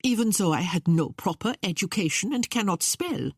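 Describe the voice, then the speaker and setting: An imperious middle-aged queen from a historical novel, acted out by a woman narrator. She is scornful and declarative, with a husky edge and swooping, animated pitch. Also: normal speed, no room sound, clean studio sound. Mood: self-assured.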